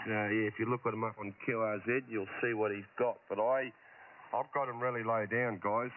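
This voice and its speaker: A man with an Australian accent making casual radio net talk as an amateur operator, thinking aloud in distracted fragments over the link. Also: fairly low pitch. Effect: shortwave radio transmission. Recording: thin narrowband radio audio, static.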